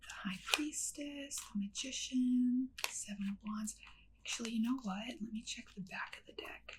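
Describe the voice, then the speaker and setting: Woman, soft whisper, ASMR witch role play, calm and unhurried, talks to the listener, faint background noise. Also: a little high. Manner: gentle, unhurried whispering, addressing the listener directly. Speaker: ASMR creator playing a witch.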